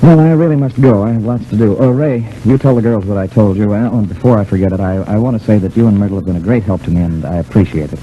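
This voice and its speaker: An actor playing an assured, kindly lawman in an old-time radio serial, with an avuncular, measured manner. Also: on the low side, natural voice. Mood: businesslike, polite. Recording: muffled, hissy old radio recording.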